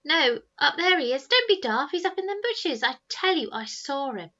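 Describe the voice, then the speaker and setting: Woman doing a high, squabbling scruffy boy. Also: big pitch swings, moderate pace, bright, forceful tone, highs cut off, heavily acted. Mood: squabbling, excited. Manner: protesting, rough colloquial speech. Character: scruffy younger boys, defensive and squabbling.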